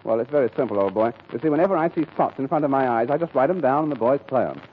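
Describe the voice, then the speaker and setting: A man's voice: medium pitch, lively intonation, racing, a little hiss or hum, natural voice. An actor playing a mild, naive, genteel man in 1940s radio comedy, polite and prim, on a tinny old recording.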